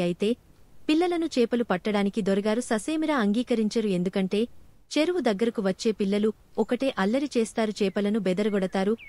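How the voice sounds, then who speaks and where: A woman's voice: fairly high pitch, a little mellow. A woman reading a scripted children's story as a storyteller. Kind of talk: scripted narration of children's stories.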